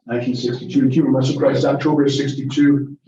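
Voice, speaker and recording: Chatty lecturer, mellow, in an echoey room. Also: male voice, mid-range voice, little pitch movement, fast talker, slight background noise. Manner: chatty, digressive, chuckling. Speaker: guest lecturer. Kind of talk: informal Q&A with audience. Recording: echoey, muffled room from a distance.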